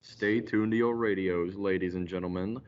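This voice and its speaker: Guy doing a low theatrical radio mystery announcer, ominous style, bit muffled. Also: fairly even intonation, normal speed, loud music behind the voice, close to an everyday voice. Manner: theatrical, portentous. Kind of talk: old-time radio announcing. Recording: muffled recording with faint noise.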